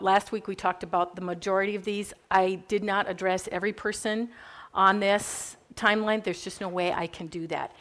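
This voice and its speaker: A woman's voice: medium pitch, fairly bright tone. A woman with a Minnesota accent teaching church history to a class in a chatty, informal way, full of fillers and asides. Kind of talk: classroom lecture. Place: classroom with a live group.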